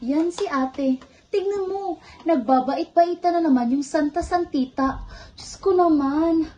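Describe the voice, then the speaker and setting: A woman's voice: very high-pitched, unhurried, dark tone. A Filipino woman playing a rebellious student, the younger sister in a stage drama, with a theatrical, exasperated, sarcastic delivery. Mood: scornful, bitter. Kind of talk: amateur one-act stage play acting in Filipino. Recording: faint background noise.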